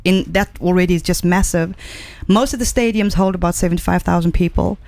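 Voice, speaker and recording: A woman's voice: lowish voice, a little fast, gravelly, no room sound. A middle-aged woman from Zambia, a singer, chatting as a guest in a radio studio and telling stories with enthusiasm. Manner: warm, enthusiastic storytelling with fillers. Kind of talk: casual interview chat. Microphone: studio microphone.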